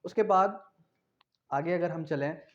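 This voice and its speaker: Higher-voiced Pakistani man lecturing, dull audio. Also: takes it slowly. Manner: didactic, repeats phrases and asks himself questions. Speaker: law lecturer. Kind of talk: explanatory law lecture mixing in English terms. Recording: dull, lo-fi sound.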